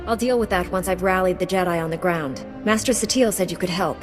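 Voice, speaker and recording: A woman playing a calm, resolute Jedi knight in a video game, speaking with earnest firmness. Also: on the low side, brisk, natural voice. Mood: composed. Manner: composed, earnest, firm. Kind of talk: scripted game dialogue. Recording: music behind the voice.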